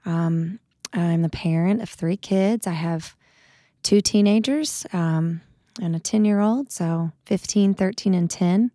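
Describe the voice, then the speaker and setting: A woman's voice: on the low side, takes it slowly, dark tone, no echo. A woman in her forties, a singer-songwriter, talking in a friendly, self-deprecating way in a studio interview. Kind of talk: casual panel interview. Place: studio with mics.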